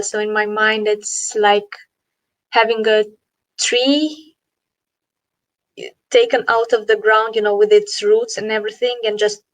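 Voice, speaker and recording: A woman's voice: fairly high pitch, dry recording, rough recording. Romanian-accented woman telling her story. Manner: reflective, with many "uh" and "you know". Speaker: guest, NLP coach and founder. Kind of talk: online interview, personal story. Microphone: video call.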